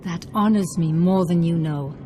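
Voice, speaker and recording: Woman as a mellow, gentle Jedi mentor. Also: lowish voice, a little hiss or hum, natural voice. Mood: reflective. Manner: earnest, measured, formal. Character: gentle, grateful female Jedi master and mentor. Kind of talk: video game voice acting.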